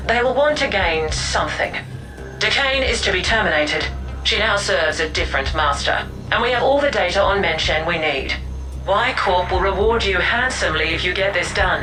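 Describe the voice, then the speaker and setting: An actress playing a cold, commanding corporate handler in a sci-fi game, speaking in a formal, clipped, detached way. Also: female voice, lowish voice, close to an everyday voice, poor audio. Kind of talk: sci-fi video game cutscene acting.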